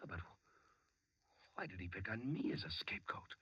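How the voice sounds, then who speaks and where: An actor playing an accused prisoner who obsessively puzzles over a murder, with dramatic delivery in a 1940s radio drama. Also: male voice, low voice, lively intonation, a little slow, fairly bright tone, slightly rough, dry recording, in a whisper, exaggerated voice. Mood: urgent, insistent. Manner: dramatic, brooding delivery with repeated phrases. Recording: muffled, noisy 1940s radio recording.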